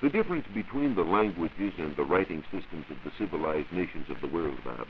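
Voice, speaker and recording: Very deep-voiced man, old radio science explainer. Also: expressive, a little fast. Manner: formal, expository, precise. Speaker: science reporter and radio panelist. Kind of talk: 1940s educational radio, scripted explanation. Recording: dull, hissy 1940s radio recording.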